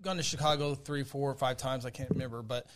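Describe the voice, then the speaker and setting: Podcast co-host, man, mid-pitched, relaxed and hesitant in casual chat. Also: brisk. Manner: relaxed, hesitant, many fillers.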